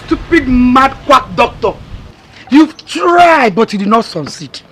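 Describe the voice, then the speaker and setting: A woman's voice: high-pitched, very animated, slow, a little hiss or hum, heavily acted. A woman playing a furious wife who scolds and threatens others. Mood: threatening. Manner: scornful, threatening. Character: furious young wife named Blessing.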